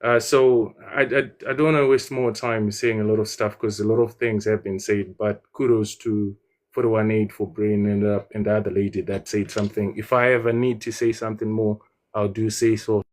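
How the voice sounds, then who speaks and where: A man's voice: on the low side, flat delivery, normal speed. A man from Zimbabwe giving a casual, hesitant comment in an online debate room. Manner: hesitant, many fillers. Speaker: debate participant.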